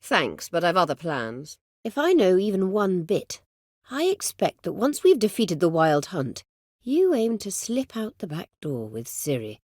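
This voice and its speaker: An actress playing an imperious, scheming middle-aged sorceress in a video game, speaking formally and with measured, biting sarcasm. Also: female voice, lowish voice, huge pitch swings, a little mellow, no room sound, clean studio sound, heavily acted. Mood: sardonic, haughty. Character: imperious, scheming sorceress, proud, sardonic and vengeful. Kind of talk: video game character dialogue.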